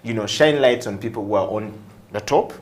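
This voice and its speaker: A man hosting an interview, phrasing his questions in a deliberate, emphatic way. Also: lowish voice. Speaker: talk show host. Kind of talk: conversational interviewing.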